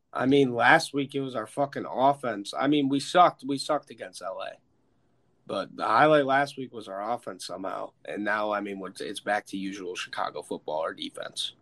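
An American man hosting a casual, sweary sports chat, opinionated and emphatic, with a stuffed-up, slightly hoarse voice. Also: mid-range voice, moderate pace, fairly bright tone. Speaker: sports podcast host. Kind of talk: casual, profane football chat.

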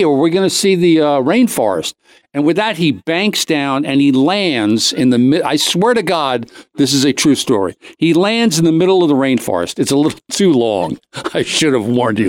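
A man's voice: a little high, animated, normal speed, no echo. A man hosting a talk show, telling a comic anecdote in a casual, rambling way, cutting himself off and acting out the dialogue. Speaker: show host.

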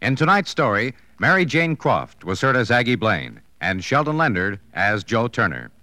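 A man reading scripted credits and promos as a polished, measured 1950s radio announcer. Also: medium pitch, very animated, dry recording. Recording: vintage radio broadcast over loud music.

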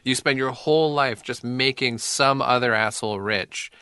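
A man's voice: mid-range voice, moderate pace, sharp tone, clean tone, dry recording. A man co-hosting a casual comedic chat, dry and sardonic, often breaking into laughs.

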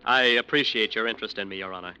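An actor playing a confident young defense lawyer, curt and guarded, in 1940s radio drama. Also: male voice, fairly low pitch, fast, bright, forceful tone, clean tone, low-quality recording. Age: young adult. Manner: clipped, polite but brusque.

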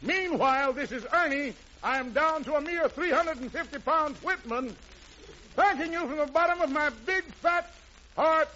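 A man's voice: very high-pitched, moderate pace, bright, forceful tone. An American man hosting a 1940s radio variety show with wisecracking, jive-talking showman patter. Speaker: radio show host and emcee. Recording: dull, noisy 1940s radio recording with crowd.

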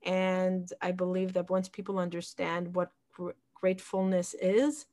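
A woman's voice: fairly low pitch, flat delivery, measured pace, dark tone. A woman in her forties who grew up in Israel, a podcast guest talking reflectively about her community and upbringing, often restarting her sentences. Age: 40s. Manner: reflective, with frequent restarts and fillers. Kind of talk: casual interview conversation.

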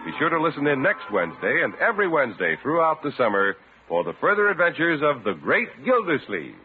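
A man's voice: fairly high pitch, wildly swooping pitch, fairly quick. An American man announcing in a polished, emphatic 1950s radio sponsor style. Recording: muffled old radio broadcast, music behind.

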